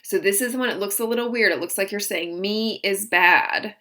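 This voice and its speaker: A woman teaching a language lesson, slowly modeling each phrase and then explaining it. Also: medium pitch, bright, forceful tone. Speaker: German teacher. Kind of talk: recorded vocabulary lesson.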